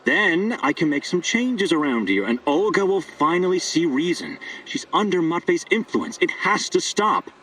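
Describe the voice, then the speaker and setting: A man's voice: on the high side, very animated, moderate pace, hardly any pauses, fairly bright tone, recorded from a distance, a little hiss or hum, poor audio. An actor playing a scheming, persuasive male doctor in a video game, earnest and wheedling with hesitant turns. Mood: self-righteous. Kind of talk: video game dialogue acting.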